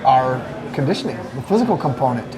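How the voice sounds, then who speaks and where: A man's voice: medium pitch, big pitch swings, fast, poor audio. A man speaking as a college soccer coach, answering casually in a live sports interview. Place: busy restaurant eatery. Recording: crowd chatter behind the voice. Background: crowd chatter.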